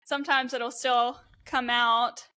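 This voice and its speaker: A young woman from Mississippi with a softened Southern accent, co-hosting a podcast and telling stories in an upbeat, giggly way. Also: fairly high pitch, little pitch movement, slow pace. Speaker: podcast co-host. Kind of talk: casual chat.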